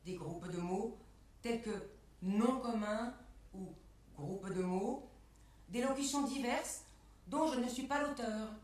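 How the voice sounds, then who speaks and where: A woman delivering a scripted spoken exposé in a measured, carefully enunciated way, setting phrases apart like quotations. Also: fairly high pitch, darkish tone, recorded from a distance. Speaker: performer in a spoken-word performance lecture. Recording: echoey room, picked up from afar.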